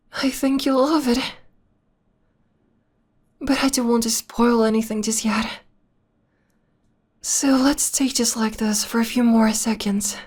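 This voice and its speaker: A woman playing a possessive, obsessed young vampire lover in an ASMR romantic roleplay, speaking softly and intimately, often in a breathy whisper. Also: on the high side. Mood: teasing, loving. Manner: slow, intimate, sweetly controlling.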